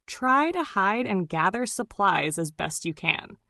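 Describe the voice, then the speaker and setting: A woman's voice: medium pitch, very animated, unhurried, gravelly, bright, forceful tone, dry recording, clean studio sound. A woman reading a scripted emergency alert, giving urgent, repetitive warnings. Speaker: emergency broadcaster.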